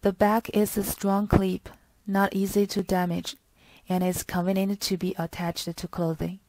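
A woman's voice: medium pitch, level tone, unhurried, darkish tone. A woman reading a scripted product tutorial in a stilted, phrase-by-phrase way. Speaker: product demonstrator.

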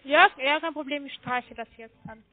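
Lady, high voice, hesitant, tinny phone line. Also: normal speed. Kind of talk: halting phone conversation.

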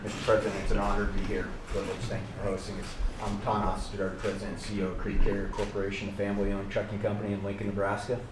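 A company executive introducing himself respectfully and briskly. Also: male voice, fairly low pitch, monotone. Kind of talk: formal self-introduction. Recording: echoey room, distant voice, crowd.

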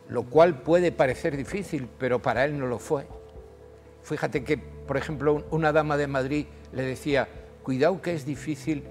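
An older man in a TV studio, telling history as a guest with animated, emphatic delivery. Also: big-room sound. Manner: animated storytelling, rises in pitch when emphasizing. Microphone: lapel.

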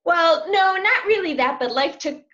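A woman's voice: extremely high pitch, animated, normal speed, sharp tone. A woman in her forties, a language student, chatting over a webcam video call from a small room at home, hesitant and laughing often. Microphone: laptop webcam on video call. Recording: dull lo-fi video call audio.

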